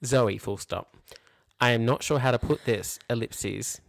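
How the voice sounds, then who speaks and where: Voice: medium pitch, unhurried, creaky, no echo. An Australian podcast co-host chatting casually and comedically, reading aloud with mocking, incredulous commentary. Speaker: junior primary school teacher.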